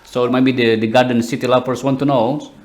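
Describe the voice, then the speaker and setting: A man hosting a podcast interview, asking questions in hesitant, halting phrasing. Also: mid-range voice, level tone. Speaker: podcast host.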